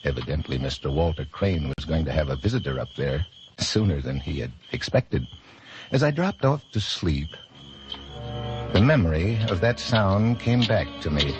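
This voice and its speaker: An actor playing a blind detective captain, composed and courteous, with a measured, wry delivery in old-time radio drama style. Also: male voice, very deep voice, animated. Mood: brooding, wry. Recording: old radio broadcast with music behind, muffled.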